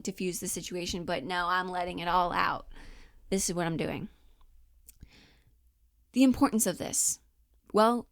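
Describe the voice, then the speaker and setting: Brief: female acting coach, twenties, informal and animated, mid-pitched voice, dry recording. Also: no room sound. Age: 20s. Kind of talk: casual instructional talk.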